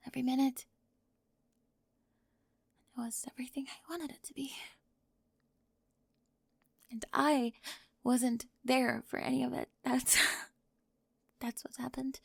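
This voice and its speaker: Actress as fragile, defensive teen perfectionist in ASMR roleplay. Breathy, halting delivery with a creaky edge, very close and dry. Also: female voice, on the high side, no room sound, exaggerated voice. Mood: flustered, vulnerable. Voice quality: breathy, often dropping into whisper. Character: anxious perfectionist teenage girl at prom, vulnerable, defensive. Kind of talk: ASMR roleplay audio drama.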